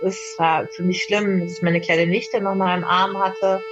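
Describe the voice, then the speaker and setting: A woman's voice: on the low side, level tone, a little slow. A woman giving a spontaneous personal account in an interview as a soldier. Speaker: military observer being interviewed. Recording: slightly dull recording over faint music.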